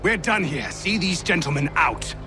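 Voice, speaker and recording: A man's voice: a little high, lively intonation, moderate pace, lightly acted. A man voicing an arrogant, imperious army general in a game cutscene, over a music score. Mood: scornful. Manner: imperious, scornful, given to angry outbursts. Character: arrogant stern British general, middle-aged. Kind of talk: video game cutscene acting.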